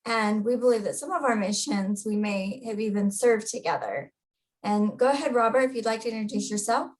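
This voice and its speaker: A woman hosting a conversational interview, asking gentle, halting questions with fillers. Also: mid-range voice, lively intonation, low-quality recording. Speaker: hypnotherapist and interview host.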